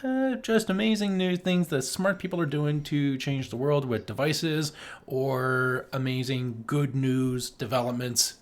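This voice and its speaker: A man's voice: medium pitch, a little slow. A man co-hosting a podcast, talking casually about his shows. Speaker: podcast co-host.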